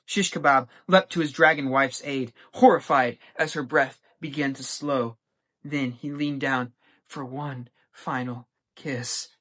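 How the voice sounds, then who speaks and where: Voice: mid-range voice, steady tone, measured pace, fairly bright tone. A teenager from the United States with an American accent, giving a dramatic scripted reading of a short story. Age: teens. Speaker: young writer reading own story.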